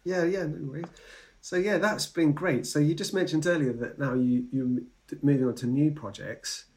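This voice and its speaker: A man's voice: a little high, normal speed, darkish tone. A man hosting a podcast who asks his guest loose, rambling questions full of fillers. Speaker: podcast interviewer. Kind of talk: casual interview questions.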